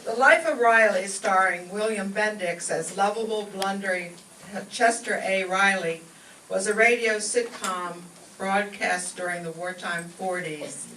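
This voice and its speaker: A woman's voice: mid-range voice, unhurried, bright, forceful tone. A woman giving a scripted introduction as master of ceremonies at a live show. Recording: echoey room, distant mic, faint noise.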